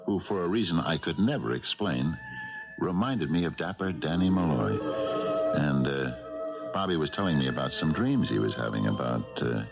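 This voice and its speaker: A very low-voiced old ex-policeman in a dated radio drama. He is hesitant and earnest, with a gravelly creak. The recording is dull, with music behind it. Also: lively intonation, exaggerated voice. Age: older. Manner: halting, hesitant delivery with repeated pauses. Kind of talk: 1970s radio drama acting.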